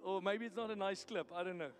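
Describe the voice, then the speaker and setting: South African male pastor, high-pitched, blunt and teasing from the pulpit, echoey hall. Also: fast, faint music behind. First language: Afrikaans. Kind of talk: sermon. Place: church hall.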